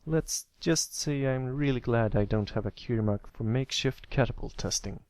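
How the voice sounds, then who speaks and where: A man voicing a kindly, encouraging older brother pony with a relaxed, reassuring way of talking, in a read-aloud story. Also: mid-range voice, moderate pace, dry recording, natural voice.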